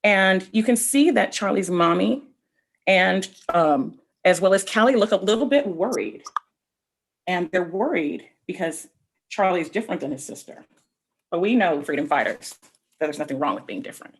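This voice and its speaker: A woman reading a picture book aloud to children, expressively, pausing to explain things directly to her young listeners. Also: on the low side, lively intonation, husky. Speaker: read-aloud storyteller.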